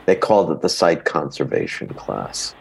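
A man's voice: very deep voice, big pitch swings, normal speed, vocal fry, a little mellow, slight background noise. A man from Queens, New York, a native Greek speaker, speaking as an interview guest and telling personal stories in a conversational way. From grew up in Queens, New York, from early childhood. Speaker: guest, actor.

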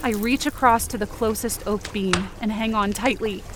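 A woman's voice: mid-range voice, steady tone, moderate pace, background noise. A woman giving intense, theatrical first-person narration for a scripted fiction podcast, in short dramatic sentences. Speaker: writer and performer of fiction podcast.

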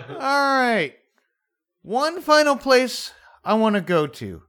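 A man's voice: extremely high pitch, a bit bright. A man hosting a radio interview in a playful, jokey manner. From San Francisco Bay Area, California. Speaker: radio show host.

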